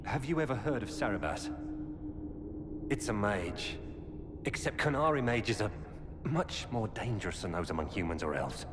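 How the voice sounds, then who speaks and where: An actor playing a weary, earnest former knight turned defector, giving grave, urgent warnings in a video game. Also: male voice, fairly low pitch, expressive, moderate pace, long pauses, lightly acted. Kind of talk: dramatic video game dialogue. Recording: cavernous reverb with loud background noise.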